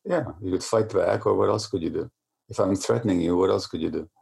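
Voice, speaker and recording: A man with a very deep, mellow voice explains ideas at length in an interview. He talks like a lecturer, and when he restarts a thought he stumbles over the same word a few times. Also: fast talker, vocal fry, breathy edge. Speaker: interview guest.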